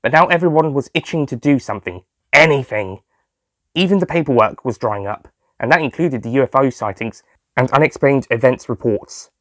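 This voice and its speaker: A male narrator reads a scripted story. His voice is of medium pitch, and the recording is close and dry with no room sound. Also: animated, a little fast, a bit bright, no echo. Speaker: author and narrator.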